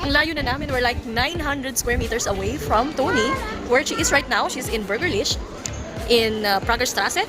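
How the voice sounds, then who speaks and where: A woman's voice: fairly high pitch, moderate pace, loud music behind the voice. A woman with a Filipino accent, a native Tagalog speaker, giving casual vlog commentary. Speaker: vlogger reviewing a product.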